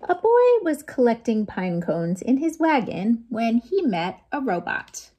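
An American woman in her forties hosting a read-aloud storytime for young children, in an animated, sing-song way with playful sound effects. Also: fairly high pitch, measured pace, slightly rough. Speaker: children's librarian, storytime host. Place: small lamp-lit room at home.

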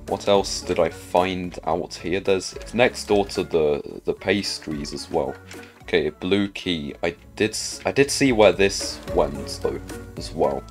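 A man giving casual gameplay commentary, chatting and thinking aloud as he plays. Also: low-pitched. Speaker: gamer narrating own play.

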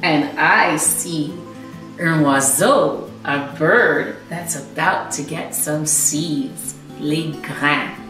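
A woman in her thirties reading a storybook aloud to young children as a teacher, with expressive emphasis and explanations. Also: low voice, extremely slow, distant mic, music in the background. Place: small living room at home, indoors. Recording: roomy echo, away from the mic.

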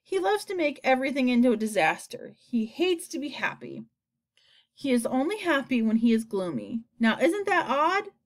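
A woman voicing a kindly, chatty female ladybug in a storybook, confiding and expressive. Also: high-pitched, normal speed, pitched above the performer's own voice.

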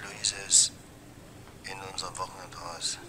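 Crime drama widower, middle-aged, low register, controlled and evasive, halting delivery. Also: male voice, flat delivery, pushed and bright, full character voice. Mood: subdued, hesitant. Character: composed, evasive widowed husband with a mistress. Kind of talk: German television crime drama acting.

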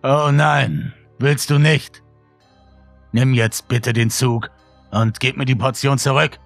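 A man voicing a sinister, smiling dealer of happiness, with a sweetly polite, slow and menacing manner in a horror story. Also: mid-range voice, steady tone, no echo, faint music behind, voice pushed up. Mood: falsely sweet.

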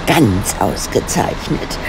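An actress playing a shrewd, bossy older lady detective in a German radio play, with a gravelly voice and formal, self-assured, genteel phrasing. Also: very deep voice, expressive, slow, heavily acted. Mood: composed, decided. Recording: clear vehicle and ambience noise.

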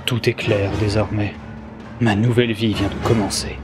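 A man's voice: fairly low pitch, flat delivery, moderate pace, close to an everyday voice. A man playing a theatrical, mournful, disillusioned character in a comedy sketch. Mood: bleak. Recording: music behind the voice.

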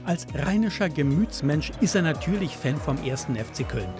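Wry male narrator, higher voice, music behind. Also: animated, normal speed. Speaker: voice-over narrator. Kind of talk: scripted, wry TV profile narration. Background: music bed.

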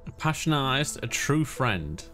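Casual young man streaming, mid-pitched voice. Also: very animated, a little slow, a bit bright, faint music behind. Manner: musing aloud, informal. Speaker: video game streamer. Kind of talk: casual chat, let's play commentary.

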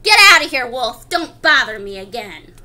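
A woman voicing a rude, annoyed little male pig from a picture book, yelling in a snappy, dismissive way. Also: high-pitched, sing-song, moderate pace, exaggerated voice. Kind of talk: expressive picture-book read-aloud.

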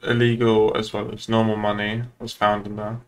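A man's voice: lowish voice, completely flat delivery, moderate pace, clear, resonant tone. A man speaking formally and procedurally in improvised police roleplay dialogue. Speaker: roleplay player as police officer. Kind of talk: improvised in-character game dialogue.

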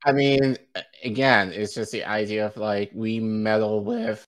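An American man in his forties giving casual political commentary as a co-host, halting, colloquial and profane. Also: lowish voice, steady tone, smooth. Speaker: news show co-host. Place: indoor room at home, video stream.

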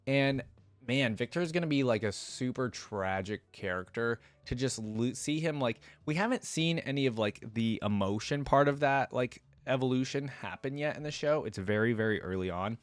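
A man's voice: medium pitch, animated, moderate pace, no room sound. A young man hosting a reaction video, reading lore aloud and commenting casually into a close desk microphone. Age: young adult. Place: indoors at a desk.

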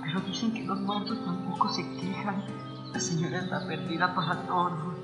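A man's voice: sits high, fairly even intonation, normal speed, lightly acted. A man voicing a humble, worried female house servant in a radio drama. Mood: worried, deferential. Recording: echoey, under loud music.

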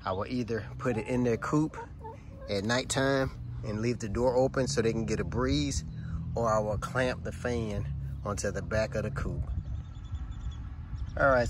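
A man's voice: mid-range voice, fairly even intonation, moderate pace, noisy background. A middle-aged man, walking through his backyard and explaining his chicken care step by step, recorded on a handheld phone. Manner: plain, friendly, step-by-step explaining to viewers. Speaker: homesteading vlogger. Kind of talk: casual walkthrough demonstration. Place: outdoors in a backyard garden. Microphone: handheld phone camera.

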